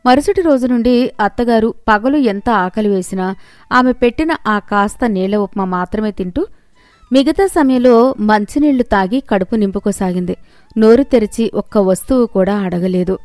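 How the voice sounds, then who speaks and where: A woman's voice: mid-range voice, moderate pace, a little mellow, no room sound. A woman reading a scripted moral story as its narrator.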